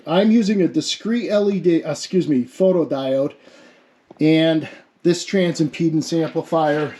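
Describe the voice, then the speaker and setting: Mellow-voiced man, casual technical explainer. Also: fairly high pitch, a little hiss or hum. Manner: casual, self-deprecating, thinks aloud. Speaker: amateur radio experimenter demonstrating a homemade setup. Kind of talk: informal hands-on technical explainer.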